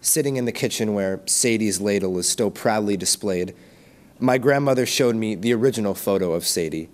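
A man's voice: fairly low pitch, moderate pace. A teenage boy reciting a narrative poem as a student at a podium microphone. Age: teens. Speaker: student poet. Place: school stage at a podium. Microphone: gooseneck podium microphone.

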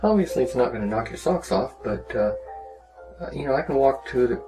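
A man giving a casual, rambling, unhurried demonstration of a hobby project, talking as a maker. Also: mid-range voice, expressive, over music. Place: small cluttered indoor workshop.

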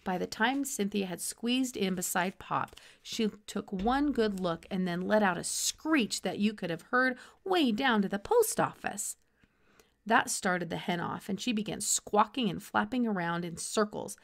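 Casting: female storybook narrator, thirties, expressive, medium pitch with creak in the voice. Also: unhurried. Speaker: storybook reader. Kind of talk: expressive children's audiobook narration.

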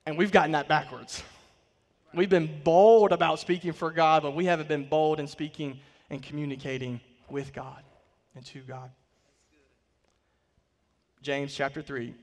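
Man, 30s, pastor, slightly high voice, emphatic sermon delivery, big hall reverb. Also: steady tone, unhurried, long pauses. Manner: emphatic preaching, voice swelling and dropping to quiet line endings. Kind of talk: sermon with scripture reading. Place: large church stage, indoors.

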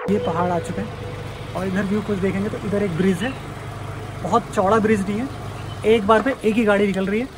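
A man in his thirties, chatty and excited, vlogging outdoors on a mountain road into a phone held at arm's length. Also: high voice, a little fast, long pauses, noisy background. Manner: chatty, excited about the scenery, sings his own song. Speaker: travel vlogger. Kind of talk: casual travel vlog narration.

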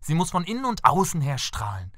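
A man's voice: on the high side, huge pitch swings, a little fast, dry recording, studio quality. A man playing a courteous, picky young prince in a cartoon fairy tale, speaking in an earnest, declamatory way.

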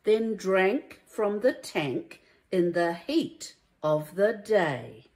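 A woman reads a children's storybook aloud like a primary teacher, in a deliberate, emphatic and expressive way. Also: mid-range voice. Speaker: primary school teacher reading a story to young pupils.

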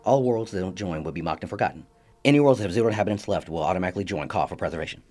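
A man's voice: deep voice, very fast, noisy background, lightly acted. A man playing a grand, earnest commercial announcer, narrating in a pompous, solemn promotional style. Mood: brisk disclaimer. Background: music.

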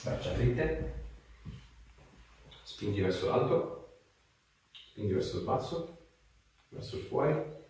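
A man's voice: medium pitch, expressive, takes it slowly, pauses often, darkish tone, slight background noise. A man, a chiropractor giving short, informal instructions and casual explanations to a patient. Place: small indoor treatment room. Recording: very echoey small room.

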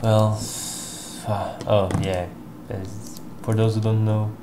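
A young man with a Portuguese accent, casually commenting on his gameplay, excitable and muttering to himself. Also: lowish voice, slow. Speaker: gameplay streamer. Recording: loud background noise.

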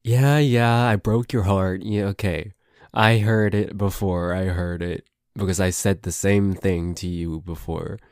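A man's voice: low voice, fairly even intonation, unhurried, a little mellow, clean tone, no room sound, clean studio sound. A man playing a nervous, suspicious, grumpy boyfriend in an ASMR roleplay, hesitant and stammering, with some swearing. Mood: wary, sarcastic. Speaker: amateur voice actor.

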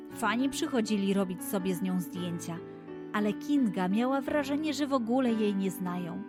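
A woman telling a scripted children's story as its narrator. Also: medium pitch.

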